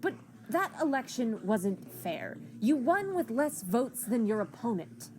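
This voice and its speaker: A woman's voice: on the high side, very animated, measured pace, darkish tone, slight background noise. A woman voicing a flirtatious, wisecracking cat-like woman in an amateur comic drama, with a teasing, theatrical manner. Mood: teasing, insistent.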